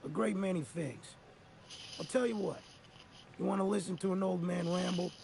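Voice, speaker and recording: A man's voice: high voice, moderate pace, creaky, a little mellow, a little hiss or hum. An actor playing a grizzled older male ex-mercenary in a game, talking in a folksy, rambling drawl. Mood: weary. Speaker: game character.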